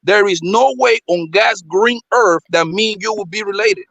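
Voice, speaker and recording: A man from Colombia calling in from his car, deliberately spelling out his argument in a casual debate. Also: high-pitched, vocal fry, fairly bright tone. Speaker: caller in a live chat. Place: car, driving. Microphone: phone.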